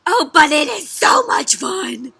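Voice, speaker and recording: A woman voicing a vain, excitable, bossy female horse in a children's picture book, with a gushing manner. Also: sits high, measured pace, dry recording, heavily acted. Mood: super excited. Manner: gushing, exclamatory.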